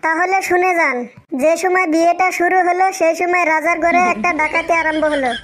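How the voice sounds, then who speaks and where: A woman's voice: very high-pitched, little pitch movement, fairly quick, a bit bright. A woman voicing a polite but cheeky, stubbornly earnest schoolboy in a comedy cartoon. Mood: cheeky insistence.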